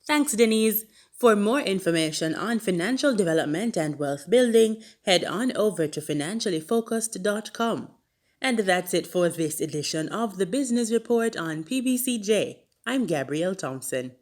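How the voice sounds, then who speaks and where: Female news presenter, mid-pitched voice, reading from script. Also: lively intonation, moderate pace. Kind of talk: scripted broadcast sign-off.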